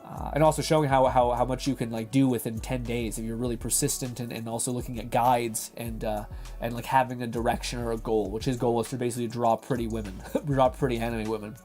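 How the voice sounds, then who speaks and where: A man's voice: medium pitch, little pitch movement, fast talker, darkish tone, soft background music. A young man hosting a livestream in rambling casual chat, reading chat aloud and laughing, his voice going from a dry throat. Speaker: livestream host.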